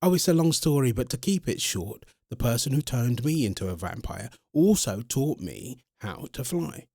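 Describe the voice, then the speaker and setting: A man acting as a smitten male vampire talks straight to his listener in an audio roleplay. His mid-pitched voice rises and falls with lots of animation. The delivery is chatty and conversational, and the sound is very dry, with no room around it. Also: very animated, normal speed, vocal fry, mellow, dry recording. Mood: animated storytelling. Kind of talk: scripted first-person audio roleplay storytelling.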